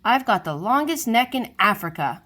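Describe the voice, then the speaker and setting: A woman voicing a kind, helpful female giraffe in a children's storybook read-aloud, bright and eager. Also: fairly high pitch, very animated, moderate pace, voice pushed up, exaggerated voice. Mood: friendly.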